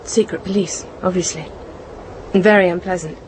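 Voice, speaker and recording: A woman's voice: lowish voice, steady tone, normal speed, a little mellow, slight background noise, close to an everyday voice, rough recording. An actress playing a British housewife and mother working as a courier spy in a radio drama, composed but tense, with a British accent. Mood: animated, keyed up. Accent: British English.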